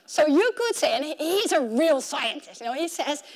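Woman in her 30s doing a conference talk, pretty high voice, chatty and animated, explaining research. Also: normal speed. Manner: animated, conversational, acts out imagined objections. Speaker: conference keynote speaker. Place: conference room in front of a projection screen. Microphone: clip-on mic at the collar.

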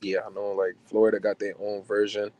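A man's voice: lowish voice, completely flat delivery, a little fast, darkish tone, a little hiss or hum. A young man from New Jersey talks with a guest's easy, slangy looseness, trailing into fillers and restarts. He speaks on a video call from inside a car, the sound coming through a phone held at arm's length. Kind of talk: casual chat. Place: car interior. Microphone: phone camera at arm's length on video call.

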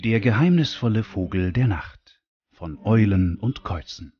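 A man's voice: on the low side, expressive, normal speed, slightly rough. A man reading scripted credits as a radio announcer. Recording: slightly dull sound, music underneath.

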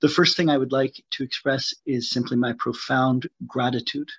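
Husky man on dry, muffled call audio. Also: medium pitch, steady tone, fairly bright tone, dry recording. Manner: formal, measured, grateful. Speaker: peacebuilding practitioner. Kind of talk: online panel remarks. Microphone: video call.

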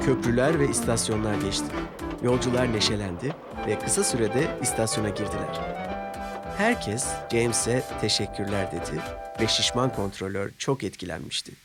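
A man's voice: fairly low pitch, moderate pace, over loud music. A man narrating a scripted children's story as a storyteller.

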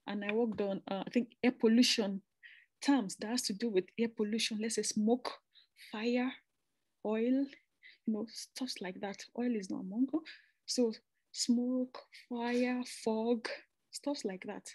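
A woman's voice: on the high side, fairly even intonation, a little slow, a little mellow, no room sound. A young woman from Nigeria giving an informal slide presentation, chatty and conversational, often restarting phrases with asides. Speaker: presenter explaining a master's program.

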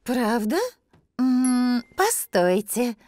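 Gentle, slow-talking cartoon mom. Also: female voice, on the high side, dry recording. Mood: kindly, thoughtful. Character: patient, helpful young cartoon mother. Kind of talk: children's cartoon dub.

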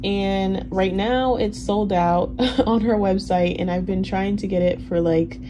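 A young woman in her twenties whose first language is Haitian Creole, chatting casually in a relaxed, rambling way full of ums and likes. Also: mid-range voice, a little slow. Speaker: planner vlogger. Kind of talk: casual Q&A chat over a plan-with-me. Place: indoors at a desk. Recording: audible background noise.

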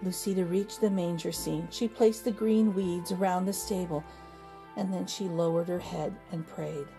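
A middle-aged woman hosting a children's read-aloud, narrating in an expressive, deliberate, teacherly way. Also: lowish voice. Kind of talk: storybook narration. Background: music.

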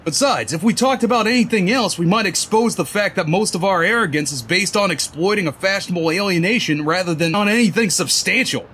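A man's voice: high-pitched, fairly quick, few pauses, fairly bright tone, a little hiss or hum. A man playing a snide, whiny young hipster in a comic dub, sneering, complaining and self-important.

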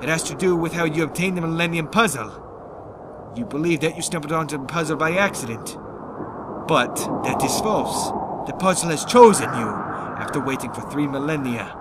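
A man voicing a cold, formal, menacing mystic villain in an amateur anime fan dub, speaking gravely and deliberately. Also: a little high, background noise, close to an everyday voice. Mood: solemn, menacing. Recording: loud music under the voice.

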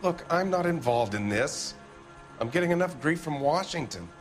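An American actor playing a defensive, evasive middle-aged factory owner in a video game, with an indignant, blustering manner. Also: male voice, on the high side, lively intonation, normal speed, faint music behind, close to an everyday voice.

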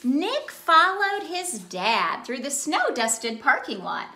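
A woman in her thirties doing an expressive children's picture-book read-aloud, addressing young listeners in an exaggerated way. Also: high-pitched, takes it slowly. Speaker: children's ministry storyteller and host. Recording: roomy indoor echo.

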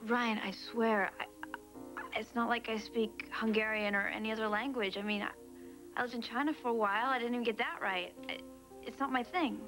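A woman's voice: fairly high pitch, fairly even intonation, a little fast, over music, close to an everyday voice, rough recording. A young woman acting in a daytime soap, flustered and apologetic, on a studio set. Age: young adult. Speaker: young woman after heart transplant.

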